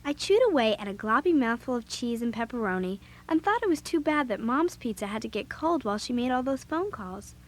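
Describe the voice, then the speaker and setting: A woman's voice: a little high, brisk, hardly any pauses. A woman with an American accent playing an outspoken, eager seventh-grade girl narrating and acting in a children's audio drama. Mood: storytelling. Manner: animated, blunt.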